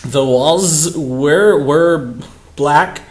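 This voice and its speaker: A man's voice: on the high side, extremely slow, reverberant. A man giving a mocking dramatic reading with casual, amused, sarcastic commentary. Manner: amused, sarcastic, often breaking into giggles. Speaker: commentary show host.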